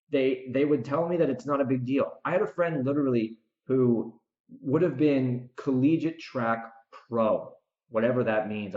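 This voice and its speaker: A man's voice: medium pitch, darkish tone. An American man hosting a solo podcast, ranting casually and emphatically, speaking straight to the listener with strong opinions. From United States. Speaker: podcast host and author. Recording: dull, echoey room recording.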